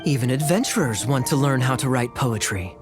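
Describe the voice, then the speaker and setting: A man voicing a sly young knight in a video game, smooth-talking, wry and mock-polite. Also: mid-range voice, expressive, brisk, over music, lightly acted. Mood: wry, teasing. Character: suave, sly, teasing young male knight. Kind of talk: animated video game voice acting.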